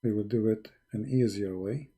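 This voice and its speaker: A man with a husky voice hosting an argumentative religious debate, insistently challenging his opponent and repeating his questions. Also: lowish voice, moderate pace, dark tone. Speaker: host of a religious debate call-in.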